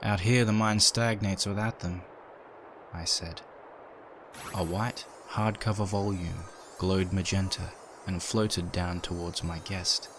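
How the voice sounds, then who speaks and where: A man's voice: deep voice, takes it slowly, rough recording. A man reading a scripted story as narrator, in the manner of a dramatic audiobook. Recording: steady ambient noise behind.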